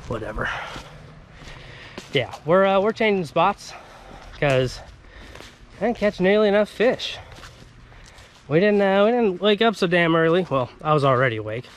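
Laid-back guy in his 30s doing a fishing vlog, sweary, voice a bit high, faint outdoor noise. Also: sing-song, moderate pace, long pauses. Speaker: vlog host, angler. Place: outdoors by river, woods and pond. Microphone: action camera on body or at arm's length. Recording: outdoor action-camera sound with faint ambient noise.

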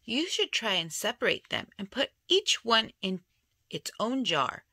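A woman reading a children's picture book aloud in an expressive storytelling style. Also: a little high, measured pace, dry recording, clean studio sound. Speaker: storybook reader.

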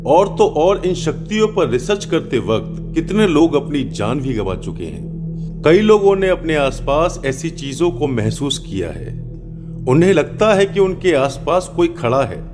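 A man hosting a horror podcast, delivering scripted narration in a dramatic, suspenseful way with emphatic, drawn-out key words. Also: medium pitch. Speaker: host of a horror story podcast. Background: background music.

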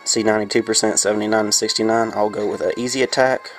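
A man's voice: fairly low pitch, fairly even intonation, fast talker, soft background music. A man with a stuffy, congested voice from a sinus infection, casually talking through a game as he plays it, with rambling and many fillers. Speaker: gameplay reviewer. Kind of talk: casual gameplay commentary.

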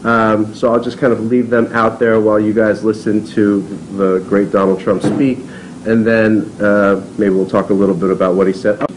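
Low-voiced man in his thirties, relaxed panelist at a table mic. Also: moderate pace, slight background noise, low-quality recording. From born in Taiwan, raised on Long Island. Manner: conversational, lots of "you know" and "um". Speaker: fiction writer, panelist. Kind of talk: casual panel talk. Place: seminar room.

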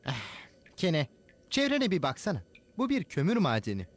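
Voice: medium pitch, huge pitch swings, normal speed. An actor playing a timid, skeptical male cartoon sidekick, speaking with exasperated doubt. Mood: wary doubting. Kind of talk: dubbed cartoon acting.

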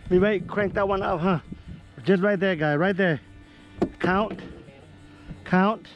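A middle-aged man chatting excitedly while fishing from a small boat outdoors, whooping and laughing at each catch, recorded on an action camera. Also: high-pitched, slow pace, highs cut off, over music. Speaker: fishing vlogger.

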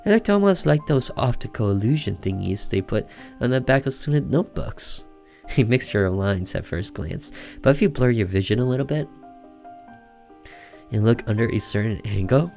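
A man reading a story aloud as expressive narration for a game, occasionally stumbling over the words. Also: medium pitch, normal speed, vocal fry. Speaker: gaming streamer reading a visual novel aloud. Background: music under the voice.

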